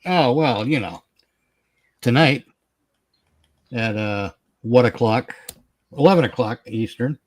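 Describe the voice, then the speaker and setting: A man's voice: medium pitch, takes it slowly, pauses often. A man co-hosting a livestream in a loose, casual chatting style. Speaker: livestream co-host.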